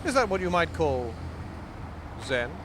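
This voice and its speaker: Male performance poet, high voice, theatrical and deliberate in rhymed verse, clear noise behind him. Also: sing-song. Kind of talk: reciting rhyming poetry.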